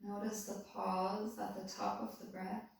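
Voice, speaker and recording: A woman calmly leading a guided body-scan meditation, giving soothing, unhurried instructions. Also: mid-range voice, vocal fry. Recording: very echoey room, voice distant from mic.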